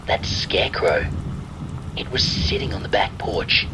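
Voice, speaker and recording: A man reading a scripted first-person horror story in a measured, deliberate storytelling manner. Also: deep voice. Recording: steady rain noise behind the voice. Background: rain and thunder sounds.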